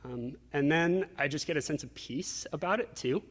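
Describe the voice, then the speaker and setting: A man's voice: on the high side, normal speed. Man telling his story, heavy reverb. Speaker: interview guest. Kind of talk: reflective personal storytelling in an interview. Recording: reverberant hall-like echo.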